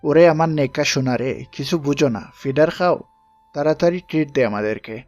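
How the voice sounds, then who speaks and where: A man's voice: a little high, normal speed, a little mellow, no echo, dull audio, soft background music, lightly acted. Man acting pushy, teasing college buddy, dry sound. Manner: mocking, slangy, pushy banter. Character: teasing young college buddy demanding a treat. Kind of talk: audio story acting.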